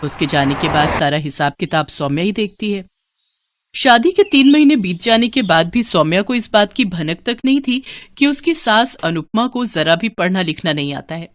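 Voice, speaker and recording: A woman's voice: mid-range voice, very animated, normal speed, no echo. A woman reading scripted moral-story narration as a storyteller.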